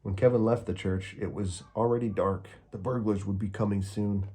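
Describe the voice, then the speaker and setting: Deep mellow guy reading a kids book aloud, calm and steady. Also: moderate pace, slightly rough. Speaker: storybook reader. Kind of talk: children's book read-aloud.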